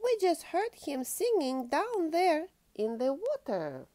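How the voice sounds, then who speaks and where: Helpful storybook animal, voiced by a woman, questioning and advising, with wide pitch swings. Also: sing-song, slow, warm tone, exaggerated voice. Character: helpful Arctic animals advising a lost bear cub. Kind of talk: expressive picture-book read-aloud.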